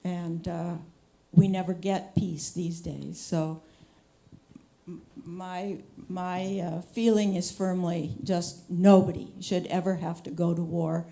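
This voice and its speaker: An older woman, a journalist on a panel, answering a question frankly and in a measured way, with many pauses. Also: lowish voice, steady tone, gravelly, dark tone. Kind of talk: answering questions at a public talk.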